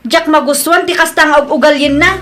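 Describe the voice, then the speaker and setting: A woman's voice: sits high, quick pace, lightly acted. A Filipino woman playing a haughty, hot-tempered senior employee in an audio drama, complaining in a whiny, scornful way. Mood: irritated. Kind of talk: acted audio comedy-drama dialogue.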